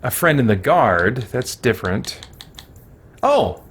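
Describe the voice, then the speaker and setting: A man's voice: mid-range voice, big pitch swings, takes it slowly, a bit bright, breathy edge. A man giving casual, rambling gameplay commentary as he thinks aloud. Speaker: let's play host.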